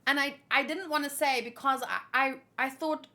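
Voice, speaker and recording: A woman with a fairly high voice hosts a manga read-along. She moves between reading lines aloud and chatting casually about them as an animated fan. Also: moderate pace. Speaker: host reacting to a manga chapter read-along.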